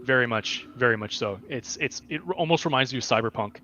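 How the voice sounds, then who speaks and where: A man's voice: medium pitch, fast, sharp tone. A man hosting a casual livestream chat, joking in a mock-scholarly manner. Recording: dull lo-fi mic with faint hiss.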